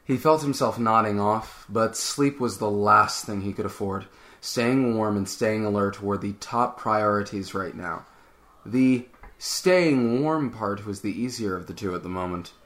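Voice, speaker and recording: A man narrates a fiction story from a script, in a dramatic reading style. His voice sits a little low. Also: unhurried, fairly bright tone.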